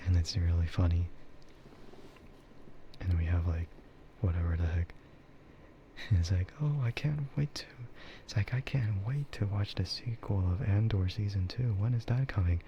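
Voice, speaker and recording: A man with a low, soft voice chats in an easygoing ASMR style. He rambles hesitantly at a slow pace and often drops into a whisper. The audio is muffled, with clear noise behind him. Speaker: VTuber streamer. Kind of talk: soft ASMR casual chat.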